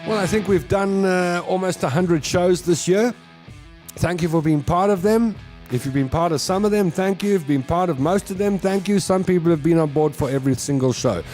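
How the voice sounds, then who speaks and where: A man's voice: high-pitched, fairly quick, darkish tone, soft background music. A South African man in his fifties hosting a live show, talking casually and earnestly to his listeners in a reflective, conversational way. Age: 50s.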